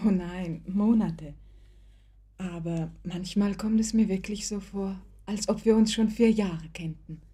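A woman's voice: medium pitch, a little slow, mellow, husky. An actress playing an earnest, trusting young woman in love in a German radio play, with polite and emphatic speech. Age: young adult.

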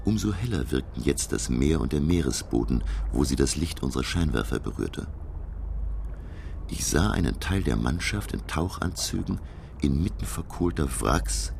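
Radio play lead: pensive professor-narrator, extremely deep creaky voice, expressive melody, measured literary delivery. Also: male voice, slight background noise, full character voice. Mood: awed. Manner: reflective, measured storytelling. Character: pensive, uneasy male professor narrating his undersea captivity. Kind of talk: German radio drama, literary first-person narration.

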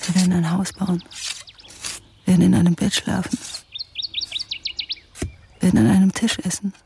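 An actress playing a desperate, fiercely devoted lover in a poetic radio drama, speaking in insistent, incantatory short pleas. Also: female voice, lowish voice, flat delivery, moderate pace, creaky, dark tone, slight background noise. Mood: pleading, visionary.

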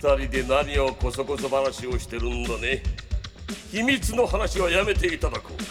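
A man's voice: mid-range voice, normal speed, music in the background, lightly acted. A male actor playing a smug, middle-aged crime boss in an audio drama, theatrical and mock-polite but easily flustered. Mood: coldly mock-polite. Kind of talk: anime audio drama acting.

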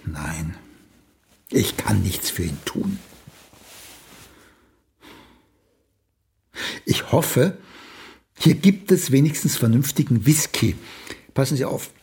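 An older actor in a German radio play playing a vain, world-weary old playwright with a dry, pompous, sardonic manner. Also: male voice, medium pitch, very animated, a little slow, vocal fry, pauses often, darkish tone. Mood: dismissive, peevish.

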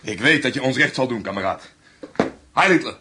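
A man's voice: mid-range voice, expressive, brisk, noisy background, natural voice. An actor in a Dutch radio play voicing a former minor SS man, a loyal, chatty but wary Nazi. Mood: fervent.

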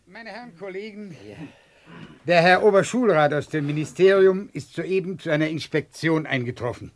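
An actor playing a formal, anxious school headmaster who defers to authority in a comic radio play. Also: male voice, on the high side, normal speed, natural voice. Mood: formal, deferential. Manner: formal, obsequious.

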